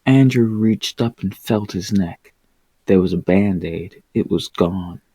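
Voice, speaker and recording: A man reading a story aloud as an amateur narrator, in an expressive, dramatic manner. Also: sits low, takes it slowly, slightly rough. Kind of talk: expressive audiobook-style narration.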